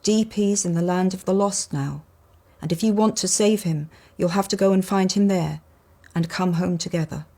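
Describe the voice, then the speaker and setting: A woman's voice: fairly low pitch, normal speed, dark tone. A woman voicing a male toy pig in a storybook, earnest and matter-of-fact, explaining things in a firm, direct way. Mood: brisk explaining.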